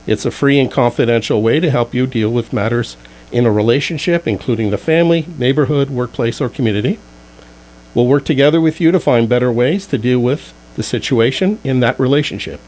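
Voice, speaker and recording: A man's voice: mid-range voice, a little fast, a little mellow, poor audio. A man hosting a radio talk show from a studio, reading out contact details in an announcer-like way before talking with guests.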